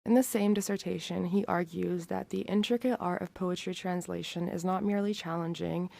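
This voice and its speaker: A young adult woman, host of a documentary podcast, reading scripted narration in a measured, formal way. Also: lowish voice, steady tone, creaky, a little mellow, no echo.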